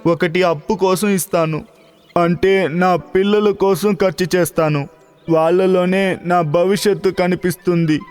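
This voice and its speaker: An actor playing a humble, clever farmer who explains respectfully and patiently in a children's cartoon story. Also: male voice, high-pitched, steady tone, measured pace, darkish tone, clean tone, big-room sound. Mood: humble, explaining. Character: humble, clever male farmer in a fairy tale. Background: background music.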